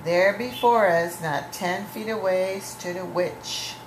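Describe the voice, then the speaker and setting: A woman reading a children's story aloud with deliberate, dramatic phrasing. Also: medium pitch. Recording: echoey room with clear background noise.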